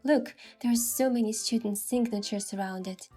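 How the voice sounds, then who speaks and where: A woman's voice: a little high, normal speed, mellow, natural voice. A woman voicing a playful, affectionate young girlfriend in a romance game, coaxing and earnest, over music. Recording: music behind the voice.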